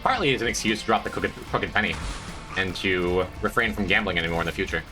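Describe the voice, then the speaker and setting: A man's voice: animated, fast, music in the background. A man streaming a video game, rambling to his chat in a self-mocking way with a stuffed-up, congested voice. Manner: rambling, self-mocking, breaks into high squeaky jokes. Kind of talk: casual gameplay commentary to chat.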